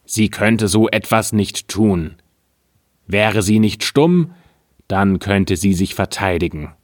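A gentle king from a storybook speaks earnestly and with care, voiced by a male reader. His voice sits low and moves slowly. The sound is close and dry. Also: lively intonation, no room sound.

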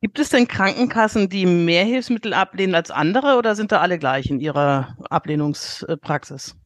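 A woman hosting a panel discussion, asking questions in a halting way with frequent restarts. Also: lowish voice, animated, no room sound, clean studio sound. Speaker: host.

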